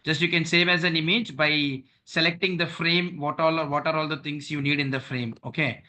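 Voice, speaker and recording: Bright-voiced guy running an online class on a call, keeps saying okay and right. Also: a little high, fairly even intonation, brisk. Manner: repeats "okay" and "right", walks through steps on screen. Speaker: trainer. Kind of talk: online training session.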